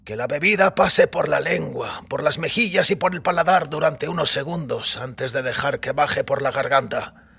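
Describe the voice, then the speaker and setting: A man voicing a weary, ceremonious old priest in audio fiction, raspy and creaky, slow and savoring as he instructs. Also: a little high, a bit bright, pitched above the performer's own voice, heavily acted. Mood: savoring, instructive. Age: older.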